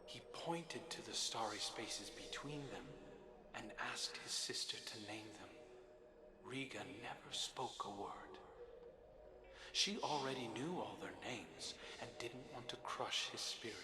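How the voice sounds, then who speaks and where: A man as a slow, wistful storytelling brother. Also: mid-range voice, lively intonation, background noise, close to an everyday voice. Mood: wistful, brooding. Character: wistful royal brother telling his sister a fable. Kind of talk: storytelling monologue in a game.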